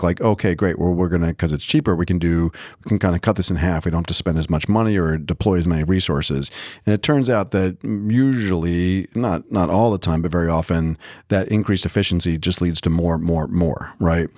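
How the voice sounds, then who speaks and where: A man's voice: low-pitched, a little mellow, dry recording, clean studio sound. A man co-hosting a podcast, talking in a casual, explanatory and chatty way. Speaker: podcast co-host. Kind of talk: casual conversational podcast talk.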